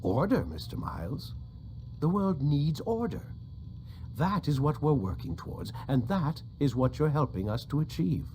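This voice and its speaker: An actor playing an older, condescending scientist villain in a video game, impatient and lecturing. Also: male voice, a little high, sing-song, normal speed, a little mellow, a little hiss or hum. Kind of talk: dramatic video game acting.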